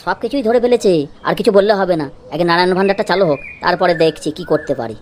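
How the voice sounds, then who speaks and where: A woman's voice: on the low side, animated, rapid-fire, very noisy, natural voice. A woman playing a henpecked, secretly scheming village husband in an amateur comedy cartoon, wheedling, pleading and muttering to himself.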